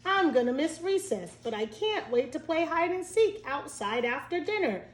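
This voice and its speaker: A woman's voice: high voice, big pitch swings, moderate pace, pitched above the performer's own voice. A woman voicing eager, earnest young schoolchildren in a storybook read aloud. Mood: wistful, hopeful. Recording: echoey room.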